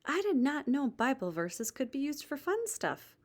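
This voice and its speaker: A woman voicing an eager but easily discouraged boy in a children's storybook, in an expressive, exclamatory way. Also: high voice, moderate pace, voice pushed up. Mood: excited.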